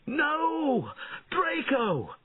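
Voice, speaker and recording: A man voicing a brave peasant rebel leader who calls out loud and urgent, heard over tinny phone-like audio. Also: medium pitch, wildly swooping pitch, slow, crowd noise, pitched above the performer's own voice. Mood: anguished.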